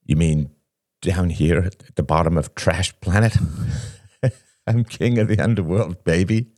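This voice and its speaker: A man voicing a bitter, ashamed recovering addict, a self-pitying younger brother who talks haltingly in an audiobook story. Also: low voice, steady tone, a little mellow, no room sound, studio quality. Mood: bitter, agitated. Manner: mumbling, halting, stammering, then bursting out.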